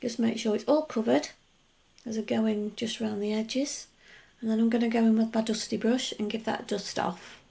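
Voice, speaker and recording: A middle-aged woman talking through a nail art tutorial in a chatty, rambling way, her voice gruff from just waking up. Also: fairly high pitch, fairly even intonation, moderate pace, mellow. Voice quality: gruff, gravelly. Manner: chatty, rambling explanations with many asides. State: just woken up. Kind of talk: casual tutorial voice-over.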